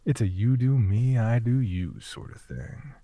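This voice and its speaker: An actor playing a flirtatious late-night radio DJ, smooth-talking and seductive, with teasing, breathy half-whispers. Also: male voice, medium pitch, unhurried, mellow, no echo, lightly acted. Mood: sultry, teasing.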